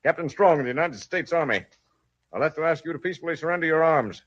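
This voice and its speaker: An actor playing a formal, firm army captain in an old-time radio western. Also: male voice, a little high, fast talker, a bit bright. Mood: official, persuasive. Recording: muffled vintage radio drama recording.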